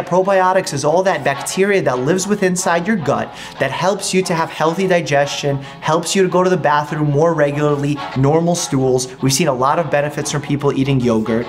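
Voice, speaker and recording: A young man in his twenties, a doctor hosting a casual food vlog from his home kitchen, explaining health benefits in an upbeat, easy way. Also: fairly high pitch. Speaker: doctor and channel host.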